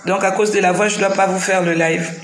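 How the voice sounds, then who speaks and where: A woman's voice: medium pitch, fairly even intonation, fairly quick, reverberant, soft background music. A tired woman with the flu hosting a casual live stream, greeting viewers one by one and repeating her welcomes.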